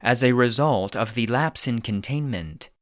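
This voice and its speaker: A man plays an impassive archive narrator. The delivery is slow, measured and clinical, in a medium-pitched voice recorded very dry and close. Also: a bit bright, no room sound, lightly acted. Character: formal, impassive database narrator reading secret records. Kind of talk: scripted deadpan narration.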